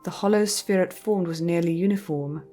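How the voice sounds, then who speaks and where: Woman reading a story, lowish voice, measured and careful, soft music bed. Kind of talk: scripted story narration.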